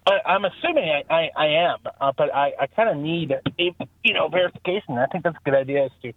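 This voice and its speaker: A man from Mexico, a native Spanish speaker, calling in with a halting, hesitant question. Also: a little high, slight background noise. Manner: hesitant, halting, repeats words. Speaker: caller. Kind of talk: phone-in question. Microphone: telephone. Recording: tinny phone line.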